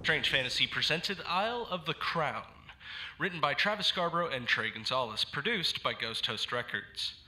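A man's voice: a little high, lively intonation, moderate pace, bright, forceful tone, slight background noise, poor audio. A man announcing scripted credits in a formal, theatrical way.

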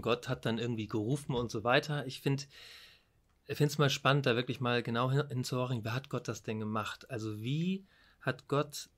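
A man's voice: medium pitch, a bit bright. A man hosting a podcast interview, asking friendly, curious questions. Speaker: podcast host.

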